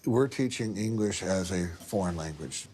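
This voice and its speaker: A man's voice: deep voice, lively intonation, normal speed, warm tone, a little hiss or hum. A man answering interview questions as a school superintendent, with blunt, folksy phrasing.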